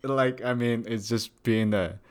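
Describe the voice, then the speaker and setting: A man's voice: medium pitch, steady tone, measured pace, darkish tone. A young man co-hosting a podcast as a grad student, chatting casually with lots of fillers and repeated words. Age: young adult. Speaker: podcast co-host. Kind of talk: casual chat.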